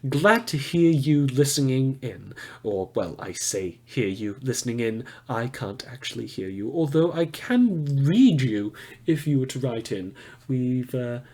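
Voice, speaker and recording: A man's voice: mid-range voice, animated, a little slow, darkish tone. A man hosting his own podcast, speaking casually to listeners in a rambling, self-correcting way. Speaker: podcast author and host.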